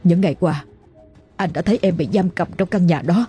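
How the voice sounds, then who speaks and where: A woman's voice: on the low side, brisk, mellow, faint music behind, close to an everyday voice. A woman voicing a desperate man who begs his former lover to come back, in a spoken drama story. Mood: begging. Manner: begging, urgent. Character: pleading former male lover, clinging and desperate.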